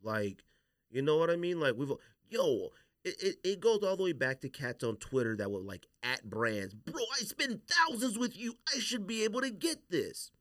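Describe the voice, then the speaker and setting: Man, podcast host, lively swooping pitch, slangy, opinionated, casual debate style, dry sound. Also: moderate pace, dry recording.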